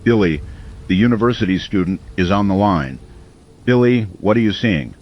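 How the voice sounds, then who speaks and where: An actor playing a grave late-night radio host, reporting on a crisis in a solemn, measured and deliberate way. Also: male voice, lowish voice, lively intonation. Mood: grave, urgent. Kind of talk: dramatic radio news narration. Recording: clear background noise, muffled lo-fi sound.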